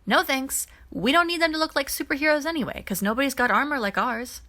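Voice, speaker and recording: Woman voicing a chatty practical teen boy, bright. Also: high voice, fast talker, voice pushed up. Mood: practical, joking. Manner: casual, chatty. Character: good-natured, practical teenage boy.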